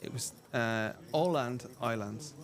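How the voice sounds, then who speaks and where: A man's voice: medium pitch, slow, crowd noise. An Irish-accented man giving casual poker commentary, telling anecdotes in a chatty, hesitant way. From Ireland.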